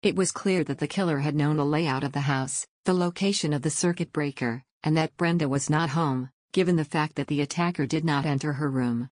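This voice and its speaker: A woman narrating a true crime story from a script, measured and slowing down on dates. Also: low voice, darkish tone, dry recording, clean studio sound.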